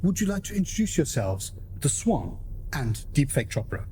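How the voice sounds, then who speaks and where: A man's voice: a little high, big pitch swings, normal speed, darkish tone. Formal male radio-play narrator over music. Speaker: host and narrator. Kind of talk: theatrical scripted narration. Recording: music behind the voice.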